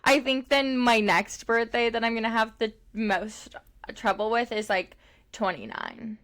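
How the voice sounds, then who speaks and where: A woman's voice: fairly high pitch, unhurried, fairly bright tone. A woman in her late twenties co-hosting a podcast, chatting casually and rambling, with lots of "like" and frequent laughs. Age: 20s. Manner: chatty, rambling, heavy use of "like", laughs often. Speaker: podcast co-host. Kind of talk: casual chat.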